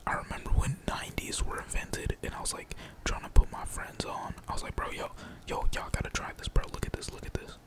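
A man's voice: fairly quick, hardly any pauses. Whispering young man gaming. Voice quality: breathy, unvoiced whisper. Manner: slangy, profane, rambling. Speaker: gaming streamer. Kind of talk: whispered casual gameplay commentary.

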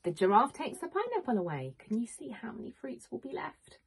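A woman, a teacher reading a picture book to young children in an expressive, questioning manner. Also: fairly high pitch, fairly quick. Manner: expressive, questioning, clearly addressing small children. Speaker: primary school teacher. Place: small home room with bookshelves, indoors.

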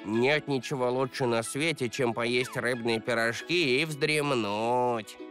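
A woman's voice: very deep voice, slow. A woman voicing a hearty, decisive toy animal who leads a rescue team in a children's cartoon. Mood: hungry, contented. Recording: loud music behind the voice.

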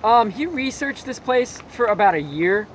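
A young man rambling through a creepy story with lots of fillers, recorded in a dark room on a camera a few feet away. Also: high voice, animated, moderate pace. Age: young adult. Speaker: co-host. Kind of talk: casual storytelling. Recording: noisy camera audio.

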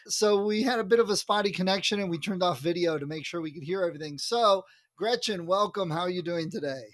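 A man's voice: high voice, fast talker. A man, hosting a podcast interview in a casual, conversational style. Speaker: podcast host.

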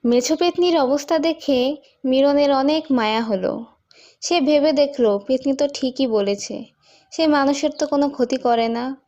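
Woman, high-pitched voice, scripted storytelling, cartoon folk-tale narrator. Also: moderate pace, dark tone, lo-fi sound.

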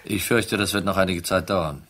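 A hard-nosed detective from a crime radio drama. His voice sits very deep with a husky grain. He is dry and keeps pressing. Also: moderate pace, a bit bright. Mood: politely pressing. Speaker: narrator and lead actor. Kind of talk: German crime radio play acting.

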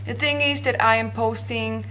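A woman's voice: on the high side, fairly even intonation, moderate pace, pushed and bright, poor audio. A young woman from Slovakia with a Slovak accent, talking straight to camera in a vlog and gesturing with her hands as she explains. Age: young adult. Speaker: vlogger. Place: bright indoor office room.

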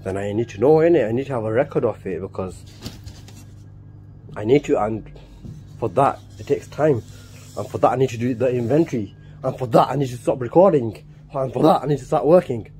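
A man's voice: mid-range voice, a little fast, warm tone. A young man in his twenties, a warehouse supervisor, talking to his phone as he works, rambling and muttering to himself. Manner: rambling, self-talking, swears under breath. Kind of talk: casual work vlog. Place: warehouse and outdoor yard. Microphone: handheld phone at arm's length. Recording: noisy phone audio with traffic.

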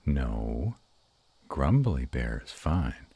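Guy voicing a gruff tender dragon boyfriend for romance roleplay, super low n husky, slow intimate murmur. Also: very animated, dark tone, no room sound, exaggerated voice. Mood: playful growling. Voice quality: very deep, gravelly, husky voice. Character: gruff but tender male were-dragon lover.

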